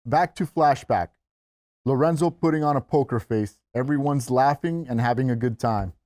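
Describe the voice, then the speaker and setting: A man's voice: mid-range voice, normal speed, darkish tone, no room sound, clean studio sound. A man reading stage directions as narrator at a script table read, in a scripted narration style.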